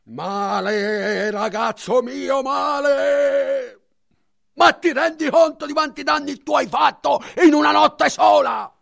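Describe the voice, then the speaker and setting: A woman acting a fussy, scolding marble cutter and exasperated father in a theatrical radio play, with a creaky, strained voice. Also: sits high, fairly even intonation, slow, heavily acted.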